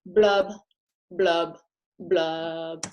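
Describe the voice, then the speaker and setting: A woman reading as a gloomy storybook fish, slow and glum, sing-song rhymes, drawn-out blubs, unhurried. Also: on the low side, flat delivery, a little mellow, muffled recording, slight background noise, pitched below the performer's own voice. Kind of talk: picture book read-aloud.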